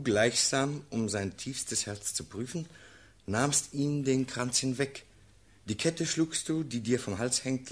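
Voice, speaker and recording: An actor playing an earnest, steadfast officer addressing his sovereign in measured, declamatory verse in a classical radio play. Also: lowish voice.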